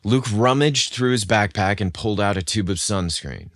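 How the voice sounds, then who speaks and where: A man's voice: lowish voice, moderate pace, fairly bright tone, no echo, studio quality. A man reading a scripted romance story as an audio fiction narrator.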